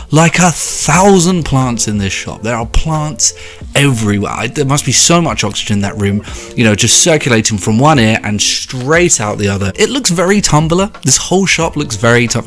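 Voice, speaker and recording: Sarcastic young man ranting about a video. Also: fairly bright tone. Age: 20s. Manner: sarcastic, swearing, big pitch jumps for emphasis. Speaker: video commentator. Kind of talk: sarcastic commentary monologue.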